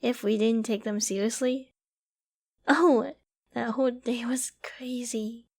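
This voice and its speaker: A woman acting a clingy, secretly obsessive young apocalypse survivor in a dramatic ASMR audio roleplay, with an emotional, halting, stammering delivery. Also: fairly high pitch, steady tone, darkish tone. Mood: nostalgic, lighter. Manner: emotional, halting and stammering, often dropping to whispers and sighs.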